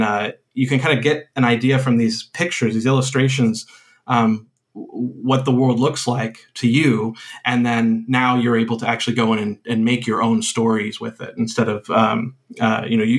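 A man who designs games talks as a podcast guest at an ordinary, mid-range pitch. He rambles through his explanation with constant uhs, restarts and trailing phrases. His tone stays casual and chatty. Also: level tone, moderate pace. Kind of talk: casual interview chat.

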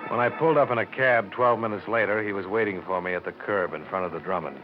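An actor playing a tough, matter-of-fact investigator who narrates a 1950s radio drama in a clipped, dry way. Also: male voice, on the low side, fast, faint music behind, lightly acted. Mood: dry, hard-boiled. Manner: clipped, dry, hard-boiled. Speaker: lead detective and narrator. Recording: muffled, hissy 1950s radio recording.

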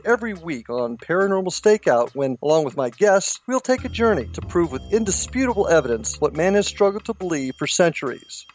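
A man reading a scripted radio promo as a show host. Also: on the high side.

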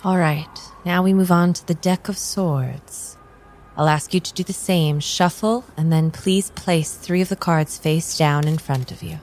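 A woman playing a calm, enigmatic tarot reader in an improvised audio drama, speaking in a measured, soothing and formal way. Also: deep voice. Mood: calm, instructive. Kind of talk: improvised radio drama acting. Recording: faint background ambience noise.

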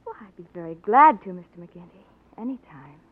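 An actress playing a genteel, earnest wife and young mother in a 1940s radio drama, speaking politely and gently. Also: medium pitch, animated, brisk, no echo, close to an everyday voice. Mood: shy, polite. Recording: tinny narrow old radio recording.

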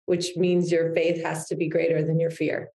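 Podcast guest, woman in her 30s, low mellow voice, reflective and heartfelt, recorded in an echoey room. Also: flat delivery, moderate pace. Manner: reflective, heartfelt, with frequent restarts. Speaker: guest, publicist. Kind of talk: podcast interview.

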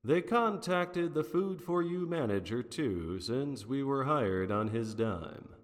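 A man's voice: mid-range voice, animated, slow pace. A man playing a wry, unflappable private detective in a 1940s noir radio drama, with a theatrical, wordy manner. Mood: sombre, wry. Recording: long reverberant hall sound.

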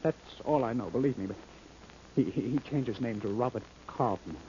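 A man acting as a scared, pleading witness in a 1940s radio drama. He talks fast and haltingly, stammering, on a dull, faintly noisy old recording. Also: mid-range voice, warm tone, natural voice. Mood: frightened, pleading. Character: frightened, stammering man confessing under questioning.

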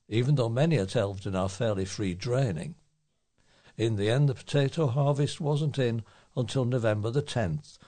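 A man's voice: medium pitch, a little mellow. A man reading a local news report aloud as a volunteer newsreader.

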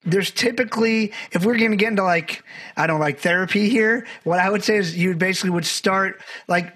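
A man's voice: high-pitched, brisk, fairly bright tone, husky. A man from Barbados, a documentary filmmaker, talking as a guest on a podcast and telling stories in a rambling, self-correcting way. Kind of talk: conversational podcast interview.

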